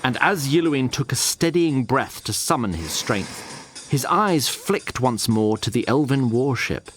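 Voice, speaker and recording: A man's voice: medium pitch, animated, measured pace, a bit bright, slight background noise. A man narrating a scripted audio drama in a wry, formal storybook manner, addressing the listener directly.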